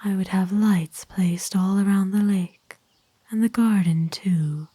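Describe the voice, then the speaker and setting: A woman voicing a kind, doting aristocratic vampire in an ASMR roleplay, speaking formally and tenderly in a soft, breathy whisper. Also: medium pitch, steady tone, dry recording. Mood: wistful, fond. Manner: formal, genteel, tender, unhurried. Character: kind, doting aristocratic female vampire owner, gently possessive.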